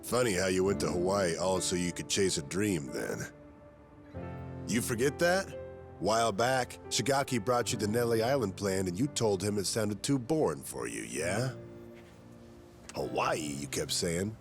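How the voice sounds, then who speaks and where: An actor playing a gruff, weathered middle-aged yakuza in a video game, wry and world-weary, with dry, clipped phrasing. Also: male voice, deep voice, lively intonation, unhurried, over music. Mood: teasing. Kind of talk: video game cutscene acting.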